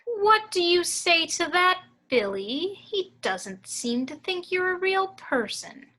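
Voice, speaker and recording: A woman playing a stern female stage ventriloquist, commanding and theatrical with quiet menace, in a table read. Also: very high voice, big pitch swings, slow pace, heavily acted. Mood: controlled, needling. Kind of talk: amateur script table read.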